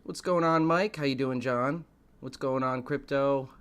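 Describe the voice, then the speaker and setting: A man hosting a live stream, a little hoarse, greeting chat and building up the evening with showy, dramatic lines. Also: mid-range voice, measured pace, fairly bright tone. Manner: showy, dramatic build-ups addressed to viewers. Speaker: host of a live stream show.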